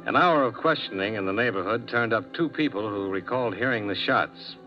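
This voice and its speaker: A clipped, hard-boiled insurance investigator, voiced by a man in a vintage radio play. His voice sits low and speaks in short, terse bursts. The old broadcast recording sounds dull, with music faint underneath. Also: expressive, fairly quick, close to an everyday voice. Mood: narrating. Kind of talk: 1950s radio drama acting and narration.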